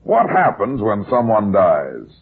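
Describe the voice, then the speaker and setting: A man's voice: fairly low pitch, wildly swooping pitch, slow pace, slightly rough, exaggerated voice. An actor playing a shrewd, unruffled male private detective in an old-time radio drama, firing terse, probing questions. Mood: calm, calculating. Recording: muffled, tinny old radio recording.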